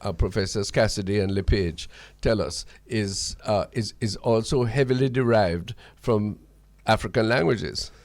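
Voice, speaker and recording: A man's voice: lowish voice, a little slow, a little mellow, no room sound. A Jamaican man hosting a radio talk in spirited debate, speaking in articulate, formal wording with frequent repeated words. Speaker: radio host.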